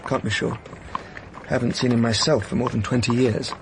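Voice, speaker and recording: An actor playing a solid, virtuous English diplomat who speaks politely and formally, with an English accent. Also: male voice, mid-range voice, flat delivery, normal speed, vocal fry, background noise, lightly acted. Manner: polite, hesitant, formal phrasing. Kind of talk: BBC radio drama acting.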